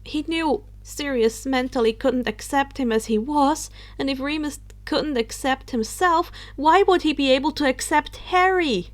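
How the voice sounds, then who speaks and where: A woman narrates a piece of fan fiction. Her voice sits quite high, and she reads in an expressive storybook style. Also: measured pace, hardly any pauses.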